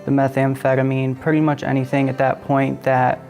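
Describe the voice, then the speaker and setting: A man's voice: mid-range voice, monotone, a little fast, a little mellow, faint music behind. A man in his twenties in recovery, telling his story in a matter-of-fact way during an interview. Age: 20s. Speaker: person in recovery. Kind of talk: personal testimony interview.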